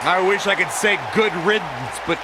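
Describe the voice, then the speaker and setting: Disapproving sports commentator, high-pitched, game match broadcast over a very loud crowd. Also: male voice, animated, normal speed, background noise. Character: disapproving male wrestling commentator. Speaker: wrestling commentator. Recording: loud music and crowd behind.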